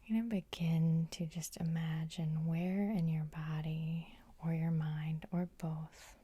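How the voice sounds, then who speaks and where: A woman hypnotherapist guiding sleep hypnosis in a soothing, unhurried, breathy ASMR whisper, close to the microphone. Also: sits low, little pitch movement, warm tone, no room sound.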